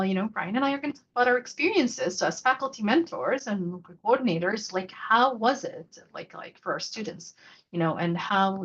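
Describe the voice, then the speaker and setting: Female presenter, mid pitch, chatty and informal, somewhat dull video call sound. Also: fairly bright tone, husky. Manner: chatty, loose with many fillers and restarts. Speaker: faculty mentor and local coordinator. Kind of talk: presenting a virtual workshop.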